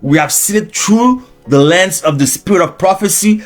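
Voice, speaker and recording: A man from Haiti preaching an unscripted sermon, with Haitian Creole as his first language, restarting phrases and swinging in pitch and volume. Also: animated, normal speed, soft background music. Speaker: preacher and teacher.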